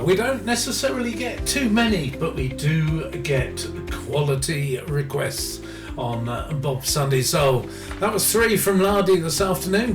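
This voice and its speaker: A man's voice: fairly high pitch, far from the mic. A man hosting a music radio show, reading out record details and dedications in an informal, somewhat hesitant way. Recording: roomy echo, music playing behind.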